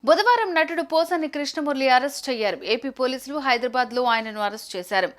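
A woman's voice: high voice, quick pace, a bit bright, faint music behind. A woman news presenter reading a scripted report in a formal news narration style.

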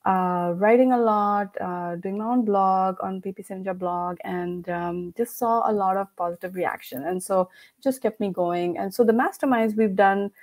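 A woman's voice: medium pitch, normal speed, a little mellow. A woman with an Indian accent talks as a guest on a remote podcast, speaking through a headset from a room at home. She is chatty, laughs often and fills her sentences with "like" and "you know". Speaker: podcast guest, company founder. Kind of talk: casual interview chat. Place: home room, video call. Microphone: headset with mic.

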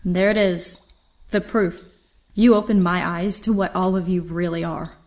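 A woman's voice: lowish voice, steady tone, measured pace, a little mellow, lightly acted. A woman playing a defiant, righteous disgraced captain with impassioned, theatrical indignation in an audio drama. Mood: bitter, accusing.

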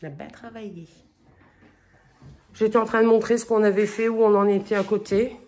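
A woman's voice: medium pitch, steady tone, moderate pace, a little mellow, slight background noise. A middle-aged woman giving a casual, chatty house tour in an informal way, talking as she moves from room to room. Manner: informal, chatty, talks to her pet in passing. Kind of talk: casual home-tour chat to camera. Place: indoors, walking through house rooms.

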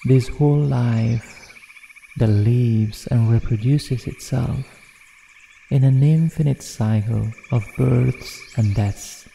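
A man narrating a guided meditation in a soothing, measured manner with deliberate pauses. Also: medium pitch, vocal fry, warm tone, slight background noise. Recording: long reverb, New-age music behind. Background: New-age music.

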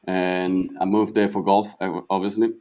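A young Italian man with a slight South African tinge, a pro golfer answering interview questions in halting English that repeats words. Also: sits low, little pitch movement, warm tone. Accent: Italian, with a touch of South African. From Italy.

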